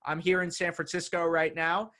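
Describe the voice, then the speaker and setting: A man hosting an online writing workshop, upbeat and energetic as he introduces the session. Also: on the high side, normal speed. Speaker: workshop host. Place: San Francisco.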